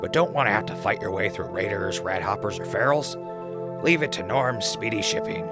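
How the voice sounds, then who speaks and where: A low-voiced, gravelly ghoul survivor played by a man hosts a wasteland radio show in an audio drama. He rambles casually and wryly, with prominent music behind him. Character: weary wasteland ghoul survivor and radio host, sardonic but caring. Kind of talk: post-apocalyptic radio drama.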